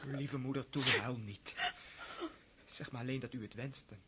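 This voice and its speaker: A man's voice: medium pitch, fairly even intonation, normal speed, crowd noise, lightly acted. An actor in a radio play, voicing a young nobleman and officer home on leave, eager and hearty. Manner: eager and hearty, turning dutiful.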